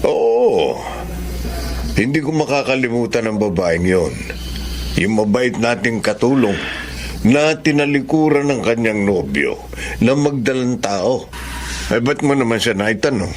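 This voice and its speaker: A steady middle-aged husband in a radio drama, concerned and reassuring. His mid-pitched voice is highly expressive, with faint noise behind. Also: unhurried, long pauses. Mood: concerned, reflective. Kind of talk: Tagalog radio drama acting.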